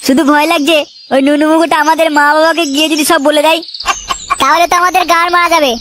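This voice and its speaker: A woman's voice: high-pitched, level tone, quick pace, background noise, close to an everyday voice. A woman voicing a scheming, foul-mouthed young boy, whiny and exclamatory, in a comedy cartoon. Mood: frustrated. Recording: loud music bed with bird chirps.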